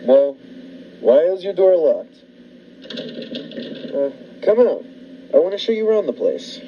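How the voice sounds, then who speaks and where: A man's voice: high-pitched, sing-song, unhurried. A man playing a menacing, deranged murderous husband in a 1940s-style radio thriller, folksy, insistent and coolly sinister. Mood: insistent, coaxing. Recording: dull lo-fi radio-play audio, clear noise.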